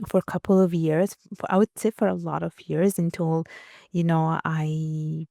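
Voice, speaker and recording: Young woman from Italy, interview guest; low, gravelly, mellow voice, reflective and halting, dry close sound. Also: level tone, dry recording. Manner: reflective, many fillers and restarts. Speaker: guest author. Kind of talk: conversational interview.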